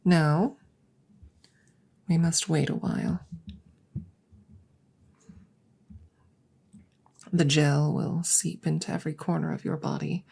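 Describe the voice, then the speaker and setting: A low female alien scientist, acted by a woman, detached and precise. The voice is husky and breathy with a crackle of fry, sometimes sinking into whispers. Each phrase is formal and deliberate, in the intimate manner of ASMR roleplay. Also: very animated, warm tone, heavily acted. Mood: calm, politely concerned.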